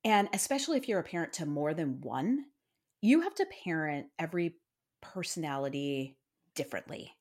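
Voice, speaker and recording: Chatty woman with lively, lowish voice. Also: moderate pace. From Iowa. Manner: chatty and self-deprecating, laughs often. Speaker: podcast co-host. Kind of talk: casual true-crime podcast chat.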